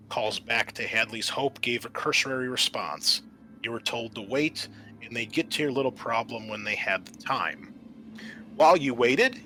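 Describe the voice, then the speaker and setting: A man working as game master, narrating a tabletop roleplaying scenario with measured, storyteller-like scene-setting. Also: medium pitch, sharp tone, low-quality recording.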